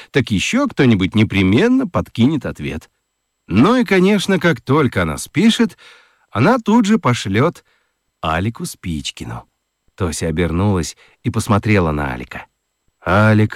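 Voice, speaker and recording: A man narrating a children's radio play in a gentle, very expressive storytelling manner. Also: fairly low pitch, moderate pace, dry recording, studio quality.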